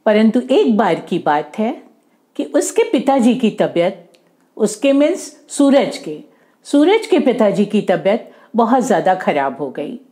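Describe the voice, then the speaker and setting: A woman's voice: on the high side, dark tone. A woman telling a moral story to children, often rephrasing herself and mixing in English words. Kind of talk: oral moral storytelling for kids.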